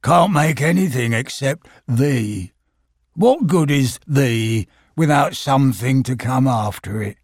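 A man voicing a gloomy old grey donkey in a storybook reading, husky, mournful and deadpan. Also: mid-range voice, slow, dry recording, clean studio sound.

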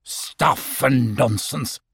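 A man's voice: fairly high pitch, very animated, slow, gravelly, breathy edge, no echo, studio quality, exaggerated voice. Man putting on a pompous, grumpy storybook owl. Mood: defiant. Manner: blustering, indignant. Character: pompous, grumpy, easily rattled male owl. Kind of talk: storybook character voice.

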